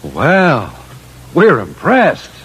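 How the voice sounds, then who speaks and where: An actor playing a brisk, confident engineer and team leader in an old-time radio drama, emphatic and take-charge. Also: male voice, a little high, wildly swooping pitch, fairly bright tone, a little hiss or hum, exaggerated voice, low-quality recording. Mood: brisk, businesslike. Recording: vintage radio broadcast sound.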